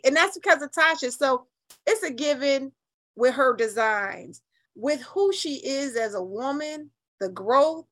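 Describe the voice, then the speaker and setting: A woman's voice: high voice, fairly bright tone. A middle-aged American woman talking casually as an interview guest, in an outspoken, emphatic and colloquial way. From New Haven, Connecticut, US.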